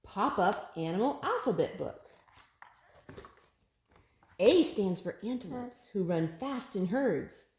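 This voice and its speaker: A woman hosting a children's storytime, reading picture books aloud in an expressive, sing-song way to a small child. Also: medium pitch, slow pace, pauses often, roomy sound.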